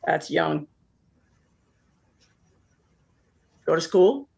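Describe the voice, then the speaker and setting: A woman's voice: deep voice, big pitch swings, measured pace, vocal fry, a little mellow, slightly rough, lo-fi sound, full character voice. A woman acting a blunt, lonely forty-nine-year-old who probes with wry questions in a naturalistic play. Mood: prodding. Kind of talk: naturalistic one-act play dialogue.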